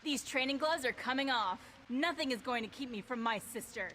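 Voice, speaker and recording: Cocky feisty game fighter girl, punchy shouty one-liners, high n really lively. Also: a little fast, slight background noise. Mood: cocky, defiant. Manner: exclamatory, punchy, teasing. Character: feisty, brash, cocky young female fighter. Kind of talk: video game character voice lines.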